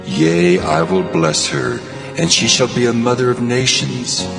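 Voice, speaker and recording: A man acting almighty deity, solemn, declamatory, heavy rasping creak, sweeping intonation, music underneath. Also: medium pitch, lively intonation, measured pace. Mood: solemn promise. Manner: grand, declamatory. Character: God, solemn majestic male deity giving promises. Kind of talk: dramatized scripture acting.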